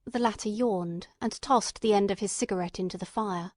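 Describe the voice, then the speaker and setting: A woman narrates a piece of classic literary fiction from a script. Her voice sits at a medium pitch for a woman. The recording is dry, with no room sound. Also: moderate pace, darkish tone, no echo.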